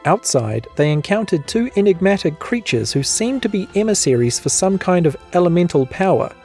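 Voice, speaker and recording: A man's voice: sits high, big pitch swings, fairly quick, a little mellow, soft background music. A man with a New Zealand accent reading a scripted, dramatic recap of a fantasy story. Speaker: recap narrator.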